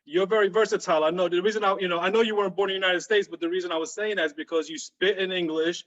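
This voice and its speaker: High-voiced hyped man hosting. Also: fairly even intonation, racing, fairly bright tone. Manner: hyped slangy host talk, calls guest "my brother". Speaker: interview host. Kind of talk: casual interview. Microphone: video call. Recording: dull lo-fi video call audio.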